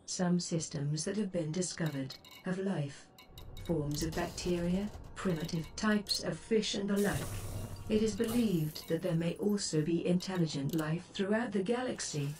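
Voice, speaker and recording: A woman's voice: lowish voice, a little slow, a little mellow, close to an everyday voice. A woman playing a composed, philosophical shipboard artificial intelligence in a space game, speaking formally and instructively. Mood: thoughtful, formal. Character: composed female ship artificial intelligence companion, advising and philosophical. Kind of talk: scripted game voice pack lines. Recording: distant, noisy game stream capture.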